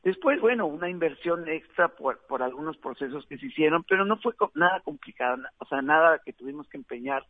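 A man from Mexico with a Mexican accent, a film director giving a relaxed, chatty radio interview with frequent fillers. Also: fairly high pitch, a little fast, hardly any pauses, no room sound. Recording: tinny dry phone line.

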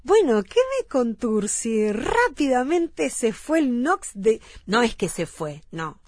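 Lively Uruguayan woman radio host. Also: a little high, slow, no room sound, studio quality. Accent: Rioplatense Spanish. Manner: lively, uses local slang, repeats event details. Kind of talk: radio interview. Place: radio studio. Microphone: studio mic.

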